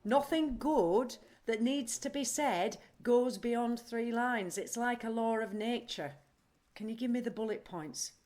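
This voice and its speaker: An actress playing an impulsive, scattered and stubborn woman in an audio drama, deadpan and earnest. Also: fairly high pitch, a little slow, natural voice. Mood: weary, stubborn. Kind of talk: naturalistic audio drama acting.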